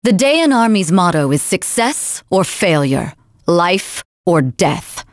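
A woman voicing a cruel, haughty female general in a video game, taunting with a theatrical, drawn-out delivery. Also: lowish voice, sing-song, no echo, studio quality. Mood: haughty, mocking. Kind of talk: video game character voice lines.

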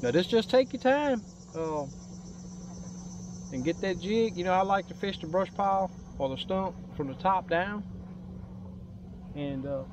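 Man, somewhat high voice, folksy unhurried rambling, casual fishing vlog, light outdoor noise. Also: darkish tone, muffled recording. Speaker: angler hosting a fishing vlog. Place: open boat on a lake, outdoors.

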